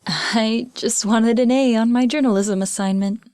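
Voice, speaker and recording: Woman playing a high-voiced, determined young journalist. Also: fairly even intonation, moderate pace, a little mellow, lightly acted. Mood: shaken. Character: determined young female student journalist, defiant and blunt. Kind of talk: audio drama acting.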